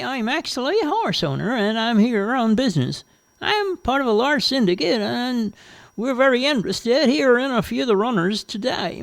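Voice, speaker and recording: A man voicing a young woman who fakes a thick overseas accent to bluff her way past a gate attendant, pushy and high. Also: vocal fry, no echo, full character voice. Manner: pushy, high, fast talk.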